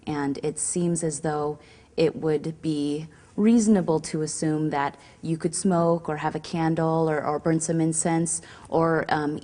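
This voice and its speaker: Low-voiced woman explaining safety rules. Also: warm tone. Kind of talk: semi-scripted instructional explanation.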